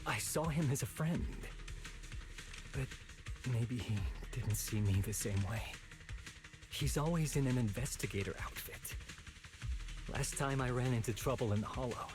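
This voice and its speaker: A man's voice: medium pitch, measured pace, pauses often, lightly acted. A man playing a downcast, puzzled young night watcher in a video game. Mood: hurt, downcast. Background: game music.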